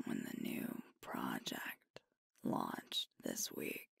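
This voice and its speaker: A woman voicing a sweet, devoted werewolf girlfriend in an ASMR roleplay, whispering tenderly and unhurriedly with a breathy voice close to the mic. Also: rumbling low, lively intonation, a bit bright, dry recording, exaggerated voice. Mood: worried, earnest. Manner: tender, earnest, soothing, unhurried. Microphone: close microphone, dry.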